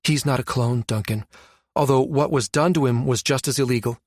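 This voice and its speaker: Male narrator as composed woman doctor, frank, measured explaining, science fiction audiobook, dry sound. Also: medium pitch, a little mellow, no room sound, close to an everyday voice. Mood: weary. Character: composed, frank female doctor, short blonde, military setting.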